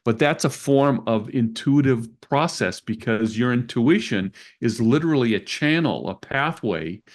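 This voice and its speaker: A man co-hosting a radio show, explaining self-help and spiritual ideas in a measured way. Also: medium pitch, lively intonation.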